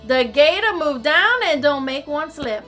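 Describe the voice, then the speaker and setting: Woman acting a folksy Cajun storyteller, theatrical singsong verse, unhurried, bright, children's storybook, soft music behind. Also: high-pitched, lively intonation, voice pushed up. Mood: excited. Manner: theatrical, singsong rhymed telling in dialect. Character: excitable, folksy Cajun storyteller of a Christmas tale.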